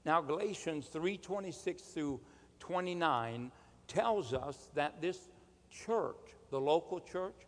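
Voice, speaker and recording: Male preacher, mid-pitched, slow and deliberate sermon delivery, long hall reverb. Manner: emphatic, deliberate, rhetorical questions and calls for amen.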